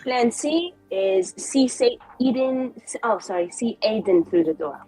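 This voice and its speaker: A woman's voice: fairly high pitch, very animated, unhurried, gravelly, warm tone, poor audio. A woman playing a tabletop roleplaying game, improvising in character in a playful, informal way. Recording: background music.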